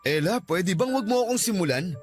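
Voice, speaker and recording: A high-pitched young husband and father played by a man, emotive and insistent in a radio drama manner. Faint music runs behind the voice. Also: animated, fairly quick. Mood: defensive, irritated. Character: tired, defensive working husband and young father. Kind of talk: Tagalog radio drama acting.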